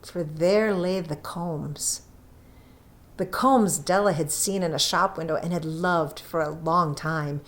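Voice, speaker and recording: A woman reading a scripted story aloud with deliberate, dramatic phrasing. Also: sits low. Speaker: story reader.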